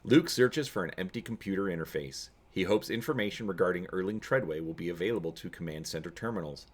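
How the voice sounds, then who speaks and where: A man giving a dramatic narrated reading of an adventure story, expressive in his storytelling. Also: low voice, quick pace. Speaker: reader and host of a gamebook read-through.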